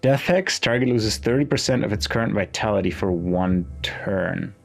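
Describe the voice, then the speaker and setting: A man with a low voice and a noticeable fry talks through a game in a casual way. He muses aloud and hesitates between thoughts, reading bits of game text as he goes. Music plays faintly behind him. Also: normal speed. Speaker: gaming video host.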